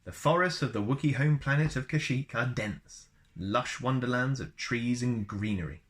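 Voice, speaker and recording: Bright-voiced man reading aloud. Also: mid-range voice, normal speed. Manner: chatty, wanders into personal asides. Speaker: storyteller reading books aloud. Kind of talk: read-aloud with casual asides.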